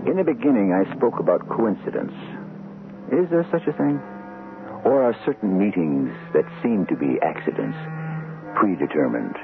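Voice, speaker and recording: A man with a very deep, gravelly voice hosts a radio mystery. His scripted narration is measured and ominous yet expressive in pitch, on a lo-fi vintage broadcast recording. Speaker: host and narrator of mystery series. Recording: lo-fi old radio recording, music behind.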